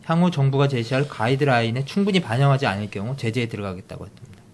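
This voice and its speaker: A middle-aged man hosting a casual podcast. He talks in a halting way with many fillers and restarts, reading out listener comments and news. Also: mid-range voice, slight background noise. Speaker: podcast host.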